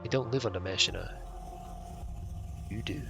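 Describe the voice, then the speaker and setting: A man's voice: lowish voice, moderate pace, vocal fry. A man voicing a mocking, foul-mouthed escaped suspect from a video game, cryptic and overly familiar, with music behind. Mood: needling.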